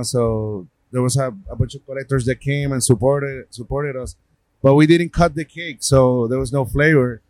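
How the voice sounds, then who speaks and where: A man's voice: medium pitch, darkish tone, no room sound, poor audio. A man from Puerto Rico with a Puerto Rican accent, a gallery owner and artist talking in a casual interview, rambling with many fillers and restarts.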